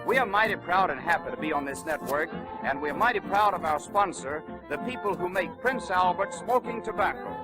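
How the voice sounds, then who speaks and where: A man's voice: sits high, animated, a little fast, over music, rough recording. A man announcing a live 1930s radio show from a script, with an emphatic, promotional delivery, on a vintage broadcast recording.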